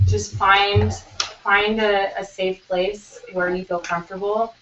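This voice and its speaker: Woman, monotone, casual stream chat, distant mic, echoey room, dull sound, noisy. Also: medium pitch, takes it slowly. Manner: flat, monotone delivery. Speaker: cosplayer on a live stream.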